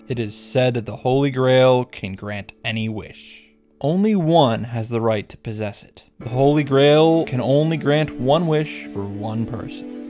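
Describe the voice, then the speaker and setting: A man recapping a visual novel as a host, wry and irreverent, with joking asides and swearing for comic effect. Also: mid-range voice. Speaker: video game summary host. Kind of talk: sarcastic story recap with asides.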